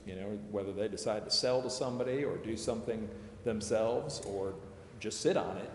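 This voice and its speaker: An American man explaining policy at a public meeting in a measured, halting way. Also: on the low side. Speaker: advisor explaining city tax district plans. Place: council chambers. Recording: echoey council chamber, background hiss.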